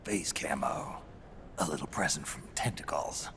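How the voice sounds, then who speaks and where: An actor playing a gruff, weary aged soldier in a video game, with a very gravelly, breathy growl and a terse, skeptical manner. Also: male voice, rumbling low, unhurried, whispered. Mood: gruff, guarded. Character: gruff, weary, prematurely aged veteran soldier on covert mission. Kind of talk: video game cutscene acting.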